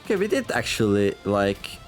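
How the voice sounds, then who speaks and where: A man commentating a tactics game as he plays it, reading the story text aloud. He rambles, corrects himself often and adds wry asides, and his voice has gone a little raspy after a long day of recording. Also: lowish voice, wildly swooping pitch, normal speed, soft background music. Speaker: gameplay commentator.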